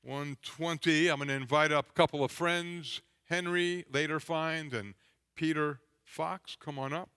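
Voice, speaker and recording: Casting: fairly high male voice, unhurried, leading a worship service in a synagogue with guitar behind him. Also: steady tone, sharp tone, clean tone, dry recording. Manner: sings slow repeated lines, then talks casually and quickly. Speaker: service leader. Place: synagogue sanctuary. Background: guitar music.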